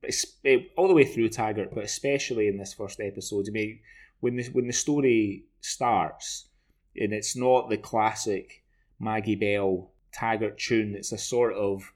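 A man in his forties with a Glaswegian Scottish accent, chatting casually as a podcast co-host in a rambling, halting way. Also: on the low side, steady tone, a little mellow. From Glasgow, Scotland. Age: 40s. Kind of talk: casual discussion of television.

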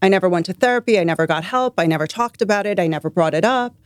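A woman's voice: fairly low pitch, rapid-fire, noisy background. A woman from Iran who later lived abroad, speaking casually as a life coach being interviewed. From born in Iran, later lived in London and the US.

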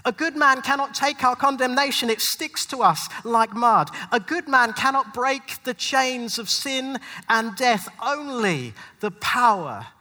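A man preaches in rhyme with a forceful, high-set voice that rings through a long hall reverb. His delivery is dramatic and rhythmic, like performed poetry from a pastor, leaning hard on key words and leaving deliberate pauses. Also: lively intonation. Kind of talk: spoken-word rhyming sermon. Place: large hall at a live church event.

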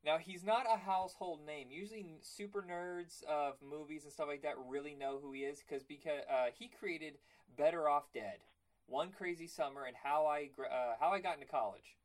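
A man with a raspy voice hosting a casual cartoon podcast, telling stories and acting out quotes. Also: on the high side, moderate pace, low-quality recording. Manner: animated storytelling, acts out quotes. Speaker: podcast host. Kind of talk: casual chat.

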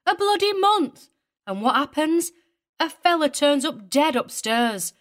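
A woman's voice: on the high side, big pitch swings, moderate pace. A woman playing a boisterous, bossy, aggrieved innkeeper's wife in a dramatised story. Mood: indignant. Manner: boisterous, exclamatory.

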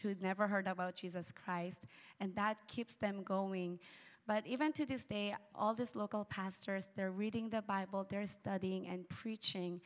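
A woman whose first language is Tagalog speaks in earnest, emphatic phrases as a missionary presenting her work. Her pitch sits in the middle range. Her voice carries a long reverb from a large hall.

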